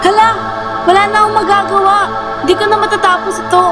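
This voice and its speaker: A woman's voice: extremely high pitch, flat delivery, quick pace, loud music behind the voice. A woman playing a troubled, defensive schoolgirl in an amateur radio drama. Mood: panicked. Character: troubled schoolgirl Sheila, snappy and defensive with family.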